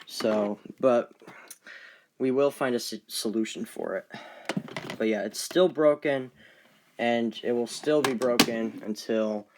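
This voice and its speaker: A man presenting his collection in a casual, unscripted video, speaking haltingly and often trailing off. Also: medium pitch, noisy background. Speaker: collector presenting weather radios. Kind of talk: casual unscripted show-and-tell.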